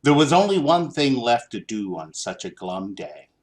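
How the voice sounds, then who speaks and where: A man reading a children's story aloud in an expressive, theatrical, deliberate style. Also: medium pitch. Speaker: storybook reader.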